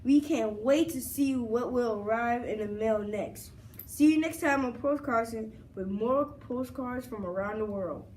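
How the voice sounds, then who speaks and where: A woman's voice: on the high side, unhurried, darkish tone, a little hiss or hum, low-quality recording. A young girl anchoring a school news broadcast, reading her script aloud.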